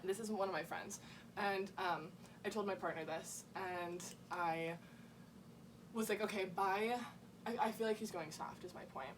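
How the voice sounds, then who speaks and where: A woman's voice: medium pitch, steady tone, moderate pace. Chatty young woman, distant noisy mic. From Oklahoma, USA. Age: 20s. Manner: chatty, joking, lots of "like". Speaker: podcast co-host. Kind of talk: casual chat between best friends.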